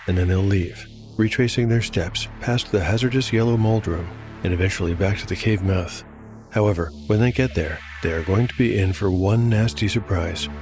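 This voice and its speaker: Male narrator and solo game master; lowish voice, scripted fantasy storytelling, prominent music bed. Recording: music under voice. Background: clear music.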